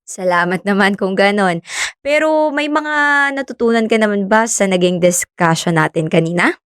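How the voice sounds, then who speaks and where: Friendly, teasing Filipina actress in radio drama. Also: female voice, mid-range voice, moderate pace, clear, resonant tone, no room sound, clean studio sound, lightly acted. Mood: friendly, modest. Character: friendly young woman, government fisheries speaker, modest and teasing.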